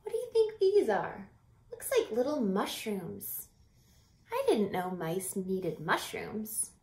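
Slow, expressive woman reading a storybook. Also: mid-range voice. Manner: animated, deliberate, drawn-out phrasing with hushed whispers. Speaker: teacher reading a picture book aloud. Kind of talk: expressive children's storybook read-aloud with asides to young listeners. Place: small home room by a window. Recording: roomy echo from home camera mic.

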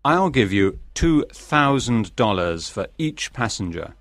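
A man playing a calm, wealthy gentleman in a dramatized audiobook, polite and unruffled. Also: mid-range voice, slow, no echo. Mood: composed. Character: Phileas Fogg, calm, composed wealthy gentleman.

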